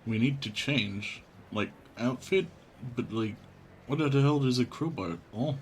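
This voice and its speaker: Slow, laid-back male game streamer. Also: medium pitch, a little hiss or hum. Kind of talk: casual livestream chat, reading game text aloud. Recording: music behind the voice. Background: game music.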